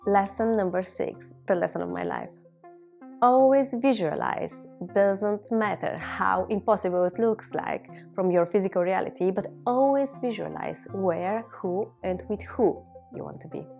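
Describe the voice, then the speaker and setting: A woman in her thirties from Bulgaria, a native Bulgarian speaker, giving a reflective personal monologue to viewers in measured, deliberate phrases. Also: medium pitch, expressive, vocal fry, darkish tone, faint music behind. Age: 30s. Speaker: video blogger.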